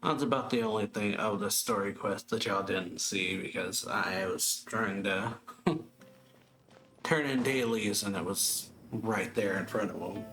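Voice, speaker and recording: A man casually commenting as he plays a video game, relaxed and chatty with slangy asides. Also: fairly low pitch, a little slow, crowd noise, low-quality recording. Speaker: gameplay streamer. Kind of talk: casual let's-play commentary. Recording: game music behind.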